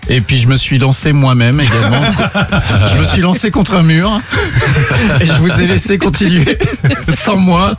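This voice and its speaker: A man's voice: fairly high pitch, unhurried, over a noisy crowd. A man in his fifties, a former host back as a guest, joking and mocking himself in casual radio banter. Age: 50s. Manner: jokey, self-mocking.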